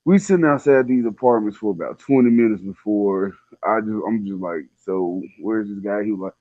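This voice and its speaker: A man's voice: fairly low pitch, brisk, dry recording, narrow, tinny audio. A man telling a personal story in casual slang, laid-back and conversational, acting out the dialogue as he goes.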